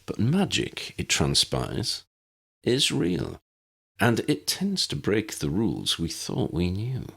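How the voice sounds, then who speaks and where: A man voicing a sage, droll uncle in an audiobook story, measured and wordy in his speech. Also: fairly low pitch, wildly swooping pitch, vocal fry, fairly bright tone, breathy edge, voice pushed up, heavily acted. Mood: wry. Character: wry, formal, sage uncle.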